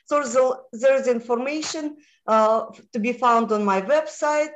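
A guest woman speaks, her voice pitched a little high. She talks haltingly, with frequent pauses and fillers as she searches for English words. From Moldova. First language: Russian. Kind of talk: casual interview answers.

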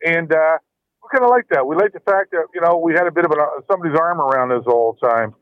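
A man's voice: a little high, fast talker, dry recording. A man calling in to a radio talk show, rambling and nostalgic. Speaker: caller. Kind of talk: phone-in call. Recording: tinny phone line.

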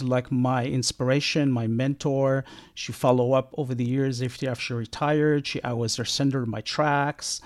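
Israeli-raised man, halting and reflective. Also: mid-range voice. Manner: halting, many fillers and restarts. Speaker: sound engineer guest. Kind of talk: casual interview.

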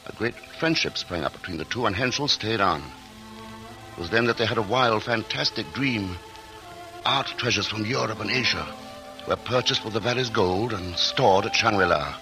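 A man's voice: lowish voice, expressive, normal speed, rough recording. A man narrating and acting the lead in a 1940s radio drama, earnest and polished. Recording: old radio broadcast with music.

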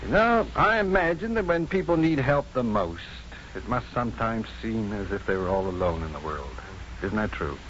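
Low-voiced, wry doctor in vintage radio drama. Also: male voice, sing-song, moderate pace, a bit bright. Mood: dry, thoughtful. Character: assured, wry, kindly male physician and professor. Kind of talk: 1950s radio drama acting. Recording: muffled, faintly noisy 1950s radio broadcast.

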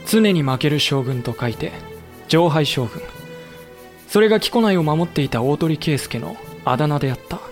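A man acting as a wry, composed samurai official who narrates a war in a literary, measured way for an audio drama. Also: on the high side, expressive, faint music behind. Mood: composed, expository. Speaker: narrator and character.

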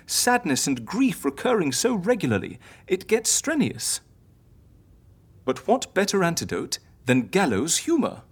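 Husky, wildly animated eccentric healer. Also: female voice, on the low side, unhurried, exaggerated voice. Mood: gleeful. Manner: theatrical, playful, persuasive. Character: eccentric, theatrical female healer, coaxing and irrepressibly cheerful. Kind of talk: audio drama acting.

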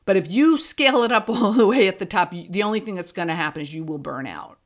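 A woman's voice: mid-range voice, expressive, quick pace. A woman hosting a podcast, talking casually and conversationally. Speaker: podcast host; fiction podcast creator.

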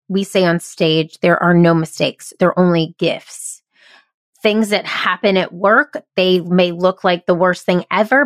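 A woman's voice: lowish voice, no room sound, clean studio sound. A woman hosting a podcast who interviews her guest in a bubbly, encouraging, playful way. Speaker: podcast host.

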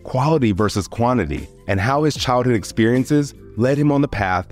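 A man's voice: mid-range voice, expressive, moderate pace, darkish tone, soft background music. A man hosting an interview, introducing his guest and asking long, friendly questions filled with "like" and "you know.". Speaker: interview host. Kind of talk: podcast interview.

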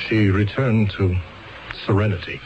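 A man's voice: fairly low pitch, steady tone, normal speed, background noise, lightly acted. An American actor in a radio drama playing a weary, grieving male lawyer in custody, brooding and wistful. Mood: resigned. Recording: dull radio recording with music.

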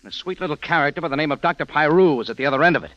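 An actor playing a tough, wisecracking American private eye in 1940s radio detective style, sardonic and slangy, both in dialogue and narration. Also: male voice, on the high side, very fast, a bit bright, no room sound, natural voice. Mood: indignant, sardonic. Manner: hard-boiled, sardonic, slangy. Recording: muffled old radio drama, music behind.

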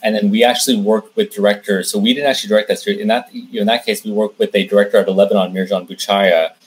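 A man's voice: fairly low pitch, fairly even intonation, rapid-fire, breathy edge, low-quality recording. A man giving conversational interview answers as a guest, explaining things in detail and often restarting his phrases. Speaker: interview guest, film director and producer.

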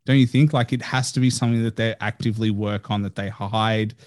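A man's voice: lowish voice, steady tone, a little fast, darkish tone. A man hosting a podcast interview, chatting in an earnest way with frequent "like" fillers. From Australia. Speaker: podcast host. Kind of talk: casual interview chat.

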